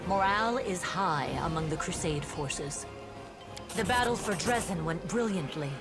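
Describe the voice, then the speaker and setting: An actress playing an earnest, dutiful female paladin officer in a fantasy game, speaking in a formal, measured reporting manner. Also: on the low side, lively intonation. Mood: formal, composed. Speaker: game character reporting to a commander. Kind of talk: voice-acted fantasy game dialogue. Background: music.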